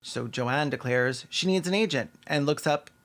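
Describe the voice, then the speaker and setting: Guy doing snarky scripted commentary, kinda high voice, lots of vocal fry. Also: moderate pace, a bit bright. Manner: sarcastic, wry. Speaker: video essay narrator.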